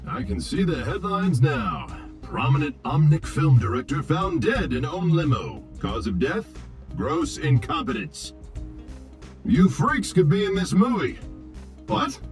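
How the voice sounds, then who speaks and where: An actor playing an arrogant, impatient robot Hollywood director in a video game, with a pompous, exasperated, theatrical delivery. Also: male voice, a little high, sing-song, a little slow, faint music behind. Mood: scornful. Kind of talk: video game character acting.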